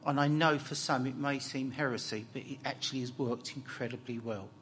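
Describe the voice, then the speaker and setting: A man's voice: mid-range voice, fairly even intonation, brisk, fairly bright tone, a little hiss or hum. A man in his sixties from Essex with an East London and South Essex accent, giving a chatty conference talk with dry, self-deprecating jokes. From Essex, England. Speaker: conference speaker on laboratory pathology. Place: conference hall.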